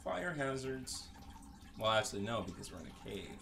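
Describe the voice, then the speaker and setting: Low-voiced man muttering game commentary. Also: sing-song, normal speed, gravelly, background noise. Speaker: gaming video host. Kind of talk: casual video game commentary.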